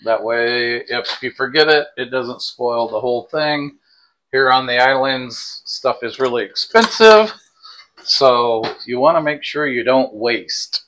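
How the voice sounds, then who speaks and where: Man, sixties, vlog co-host with a mid-pitched voice, chatting casually and giving tips indoors. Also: a little slow, fairly bright tone, slight background noise, low-quality recording. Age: 60s. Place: indoors in a small home kitchen and bedroom. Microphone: clip-on lapel mic.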